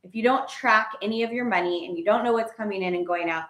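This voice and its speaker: A woman co-hosting a casual chat about money, talking in an animated way and sometimes losing her place. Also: medium pitch, fast, roomy sound. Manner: animated, laughs often, loses her place. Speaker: co-host. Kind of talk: casual financial advice chat.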